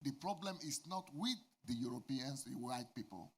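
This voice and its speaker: A man's voice: medium pitch, animated, moderate pace, husky. A man from Nigeria, a Yoruba speaker, preaching and giving a candid testimony in short phrases for an interpreter. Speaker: pastor.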